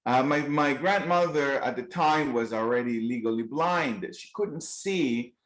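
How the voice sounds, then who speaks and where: A man with a Colombian Spanish accent delivers an earnest motivational keynote. He often restarts his sentences and fills pauses with "um" and "uh". His voice comes through a video call with a roomy echo. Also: medium pitch, a bit bright. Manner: earnest, frequent restarts and fillers. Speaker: keynote speaker.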